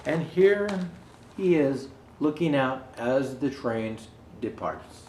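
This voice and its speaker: A man's voice: medium pitch, slow pace. Echoey man reading a Christmas storybook. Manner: expressive, raises voice for quoted speech. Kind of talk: reading a children's Christmas storybook aloud, with asides. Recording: roomy echo, faint background noise.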